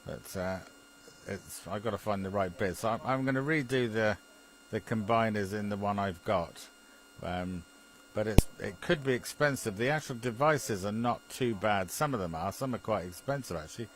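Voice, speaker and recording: A British man with a fairly low voice talks casually as a hobbyist among friends. He hesitates and repeats himself in an easygoing technical chat, with a low mains hum on the recording. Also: normal speed, low-quality recording. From Britain. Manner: hesitant, chuckling, repeats phrases. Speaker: amateur radio enthusiast.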